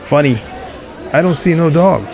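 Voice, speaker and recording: A man's voice: on the high side, moderate pace, gravelly, darkish tone, some chatter behind. An actor playing a menacing gangster thug in a 1940s radio crime drama, with taunting, slangy tough-guy talk. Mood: threatening.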